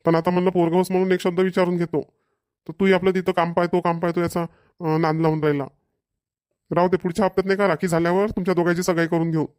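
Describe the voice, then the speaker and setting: A man's voice: high-pitched, flat delivery, fast talker, a little mellow, smooth, dry recording, studio quality, lightly acted. Man playing an insistent village father, Varhadi Marathi. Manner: repetitive, matter-of-fact persuading. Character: insistent, practical middle-aged village father. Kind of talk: amateur cartoon family comedy dialogue.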